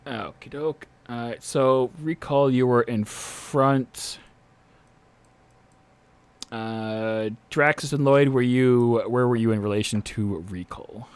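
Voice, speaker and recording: A man's voice: mid-range voice, slow pace, clear, resonant tone. A man speaking casually and a little hesitantly as game master of a tabletop role-playing game.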